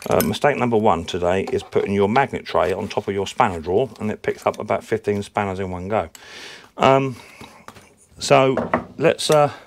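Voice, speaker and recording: A man hosting a casual lawnmower repair vlog from his workshop, his voice croaky from a sore throat, chatty and rambling with lots of ums. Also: fairly low pitch, normal speed, slight background noise. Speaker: lawnmower repair channel host.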